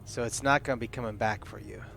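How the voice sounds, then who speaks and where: A man's voice: lowish voice, steady tone, fast talker, vocal fry, fairly bright tone. A man chatting casually as a player in a tabletop roleplaying game. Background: music.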